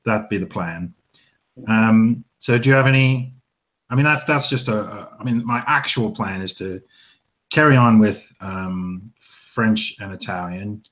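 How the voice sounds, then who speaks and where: A man co-hosting a casual chat show, rambling and hesitant, with many pauses and fillers, and a dry, self-mocking way of talking. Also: lowish voice, fairly bright tone. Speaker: podcast co-host.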